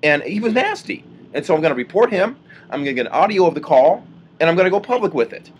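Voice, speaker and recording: A man's voice: on the high side, expressive, quick pace, a little hiss or hum. A man making an assertive, argumentative complaint on a phone call, speaking informally and indignantly. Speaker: complaining caller, authorized third-party contact.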